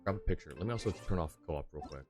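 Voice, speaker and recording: A man's voice: sits low, rapid-fire. A man casually commentating a game livestream, rambling with fillers and laughs over faint game music. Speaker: streamer.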